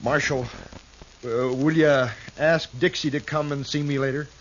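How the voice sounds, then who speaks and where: An actor playing a meek, simple-minded horse rancher who speaks hesitantly in a 1950s western radio drama. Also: male voice, a little high, fairly even intonation, normal speed, a bit bright, close to an everyday voice. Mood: meek, anxious. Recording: dull, noisy vintage radio recording.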